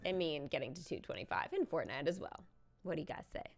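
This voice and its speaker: A woman hosting a live game stream in casual, excitable chat, thinking aloud as she goes. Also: deep voice, fast talker, creaky. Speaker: livestream host, gamer.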